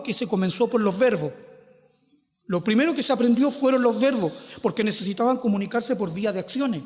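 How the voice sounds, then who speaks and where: A man's voice: high voice, in a large hall. A Chilean man preaching a sermon, posing rhetorical questions and stretching out quoted scripture with emphasis. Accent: Chilean Spanish. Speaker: pastor.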